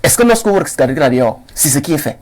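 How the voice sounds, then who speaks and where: Male debate panelist, Cameroonian accent, high husky voice, insistent and repetitive. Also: very animated, fast, a little mellow. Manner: insistent, repeats phrases for emphasis. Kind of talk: heated televised political debate.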